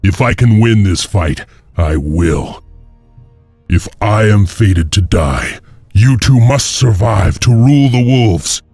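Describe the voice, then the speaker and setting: Casting: grim game hero, defiant clan warrior chief, low gravelly voice, measured delivery. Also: male voice, expressive, a little mellow, no room sound, faint music behind, heavily acted. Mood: grave, resolute. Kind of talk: dramatic video game cutscene acting.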